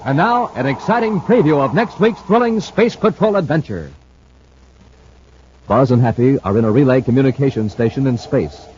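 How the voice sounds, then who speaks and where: A man's voice: medium pitch, animated, moderate pace, a little mellow. A man announcing in an emphatic, theatrical 1950s radio style, reading scripted dramatic promotion. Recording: noisy muffled vintage radio recording.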